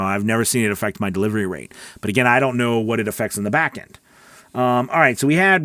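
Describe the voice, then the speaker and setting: A man's voice: mid-range voice, no echo. Male voice-over coach on a livestream, mid-range voice, dry close sound, chatty and encouraging. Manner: chatty and encouraging, thinks aloud with many restarts. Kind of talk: live Q&A, reading viewer comments and answering.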